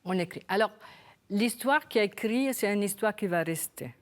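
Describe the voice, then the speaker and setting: An Italian woman from Rome, an author being interviewed on television, speaking French with a Roman accent and pausing often to search for her words. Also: medium pitch, expressive. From Italy. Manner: hesitant, searching for words, halting restarts. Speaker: author guest. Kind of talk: television interview with a read passage. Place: television studio.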